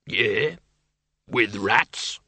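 Storybook bogeyman, played by a male reader: huge, amiable, low-pitched, unhurried, cheerfully proud. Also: expressive, pushed and bright, husky, no echo, heavily acted. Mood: curious. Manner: slow, easygoing, happy. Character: huge hairy bogeyman, amiable, eager, newly self-confident.

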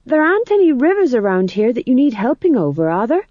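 A woman's voice: a little high, wildly swooping pitch, a little slow, dry recording, highs cut off, voice pushed up, full character voice. A woman voicing an awkward, anxious young man in a storybook, polite, hesitant and eager to please.

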